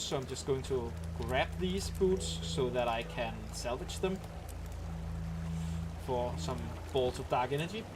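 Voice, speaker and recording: A man with a Danish accent casually talking through a game on a live stream, rambling and explaining as he plays, with frequent ums and restarts. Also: fairly high pitch. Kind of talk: casual live-stream commentary while playing.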